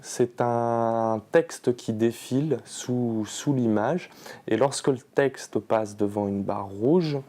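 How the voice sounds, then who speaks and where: Slow, mellow-voiced man explaining. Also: medium pitch. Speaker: sound post-production engineer. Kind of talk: explanatory interview.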